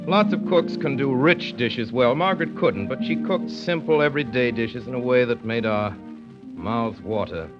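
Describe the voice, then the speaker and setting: A man's voice: mid-range voice, normal speed, over music, lightly acted. An actor playing a grown son who wryly recalls his childhood household as narrator of a 1930s radio play. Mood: fond, measured. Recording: dull vintage 1930s radio broadcast.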